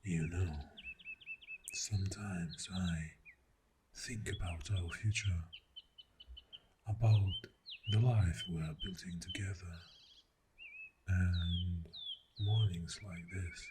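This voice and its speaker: Birdsong and outdoor sound surround a low, soft male voice. A man acts a tender, clingy boyfriend in an ASMR roleplay. He talks extremely slowly and affectionately, often dropping to an intimate whisper, with lingering pauses. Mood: tender, dreamy. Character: clingy, tender, devoted boyfriend on a morning camping trip. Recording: steady outdoor nature sound noise. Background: birdsong and nature sounds.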